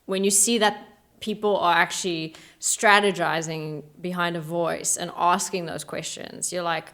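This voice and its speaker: A woman with a lowish voice hosts a relaxed interview chat. She fills in with quick affirmations and asks questions in an easy, conversational way. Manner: warm, quick to agree, with short affirmations and easy laughs. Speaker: podcast host.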